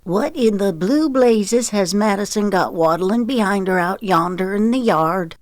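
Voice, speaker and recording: A woman narrator puts on a folksy older Southern woman, the household cook in an audiobook. She is plain-spoken, emphatic and bossy, with a lifted pitch and a close, dry sound. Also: a little slow, no room sound, close to an everyday voice. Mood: astonished.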